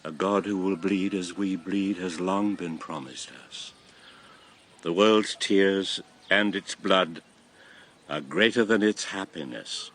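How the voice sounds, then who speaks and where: A man's voice: sits low, slow, a bit bright, heavily acted. An actor playing an old former king and grandfather, a solemn, impassioned convert to a god, in a classical radio drama. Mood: solemn urging. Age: old, past retirement. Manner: solemn, impassioned, theatrical delivery.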